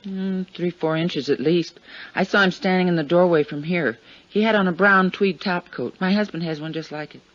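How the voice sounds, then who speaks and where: A precise, confident housewife played by an actress gives measured answers in 1950s radio drama style. Her voice is dry and close, on a dull vintage recording. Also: lowish voice, no echo, natural voice. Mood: certain, thoughtful. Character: precise, sure housewife witness. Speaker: actress playing a witness.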